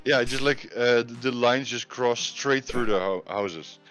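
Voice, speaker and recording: A man chatting casually and explaining things in a hesitant, halting way. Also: medium pitch, a bit bright. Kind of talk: casual chat. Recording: music behind the voice. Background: music.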